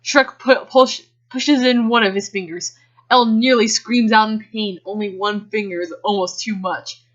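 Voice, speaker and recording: A woman reads fan fiction aloud in an amateur dramatic style, with casual asides. Her voice sits at a middling pitch. The home recording is slightly dull and lo-fi. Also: fairly even intonation, normal speed, fairly bright tone. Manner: giggly, often breaks off to react. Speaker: reader.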